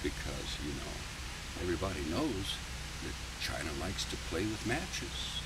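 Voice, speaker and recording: A man's voice: very animated, measured pace, full character voice. A man acting out a smug, evasive neighbor, distant mic. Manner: self-satisfied, falsely sympathetic, folksy. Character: smug, evasive neighbor faking concern and boasting. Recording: noisy, distant mic with background rumble.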